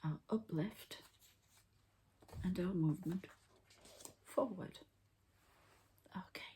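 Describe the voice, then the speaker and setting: A woman speaks in a very low, mellow register, mostly in a soft, breathy whisper. She murmurs slowly and haltingly in the manner of an ASMR healer guiding a session. A faint noise sits behind her voice. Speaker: energy healer presenting her own channel. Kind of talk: whispered ASMR healing narration.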